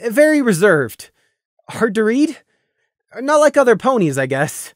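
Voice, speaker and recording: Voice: medium pitch, big pitch swings, unhurried, no room sound, clean studio sound, voice pushed up. A storyteller voicing a shy young pony stallion who stammers and protests when teased. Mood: flustered.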